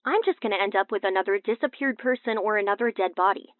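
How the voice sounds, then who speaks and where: A woman's voice: medium pitch, quick pace, dry recording. A woman voicing a stern, exasperated male police detective in an audiobook, blunt and pressing, through a phone filter. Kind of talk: audiobook character dialogue. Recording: tinny band-limited phone-call effect.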